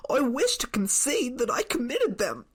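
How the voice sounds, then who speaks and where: A woman's voice: on the high side, huge pitch swings, moderate pace, husky, full character voice. A woman playing a timid, submissive male defendant in a readers theater courtroom drama, with a stammering, deferential manner. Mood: meek, submissive.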